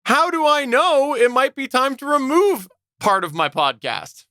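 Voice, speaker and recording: Bright, animated male podcast host. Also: very high-pitched, measured pace, no room sound, studio quality. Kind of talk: podcast presenting, structured.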